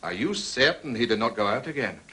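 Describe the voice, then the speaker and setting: An actor playing a formal, methodical police inspector in a period detective drama, with ponderous phrasing and a husky voice. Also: male voice, medium pitch, animated, a little fast, sharp tone. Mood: official, probing. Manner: ponderous wording, methodical questioning.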